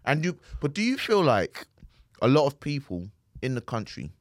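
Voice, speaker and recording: A man's voice: medium pitch, huge pitch swings, moderate pace, dry recording, clean studio sound. A man co-hosting a podcast in casual chat, rambling laid-back and tagging his lines with "man". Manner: laid-back rambling, tags lines with "man" and "do you know what I mean". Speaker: podcast co-host.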